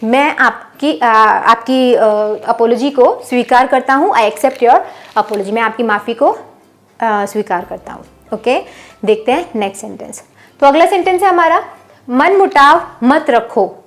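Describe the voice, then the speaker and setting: A woman with a high voice teaches English phrases. She explains each one in a patient, steady way, then says the example sentence slowly and deliberately so learners can repeat it. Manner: slow, drawn-out model sentences for learners. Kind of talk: bilingual language lesson.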